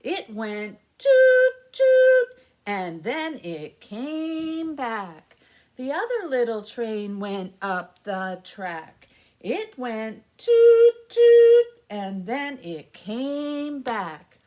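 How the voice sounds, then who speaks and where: A woman's voice: high voice, huge pitch swings, extremely slow, warm tone. A woman in her fifties leading a baby story time, speaking and chanting in an exaggerated sing-song way. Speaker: library story time presenter. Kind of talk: children's story time with rhymes and songs. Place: small indoor room with alphabet backdrop. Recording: faint background noise.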